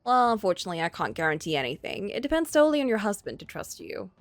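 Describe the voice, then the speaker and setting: A woman's voice: medium pitch, big pitch swings, quick pace, dry recording, soft background music. A woman casually livestreaming a visual novel, chatting and thinking aloud as she goes. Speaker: streamer playing a visual novel. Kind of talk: casual livestream chat and reading game text aloud.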